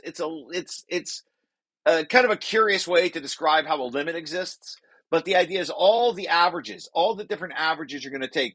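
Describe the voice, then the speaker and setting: A man reading aloud from a technical paper and explaining it informally, halting and correcting himself as he repeats words. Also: fairly high pitch, pushed and bright, no room sound. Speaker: presenter and paper author.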